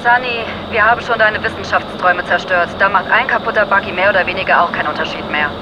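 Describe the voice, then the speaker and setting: A woman's voice: medium pitch, fast talker, lo-fi sound, natural voice. An actress playing a blunt, dry-witted woman astronaut leader in a radio drama. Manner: blunt, teasing. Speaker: mission commander Anna. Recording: loud storm noise behind.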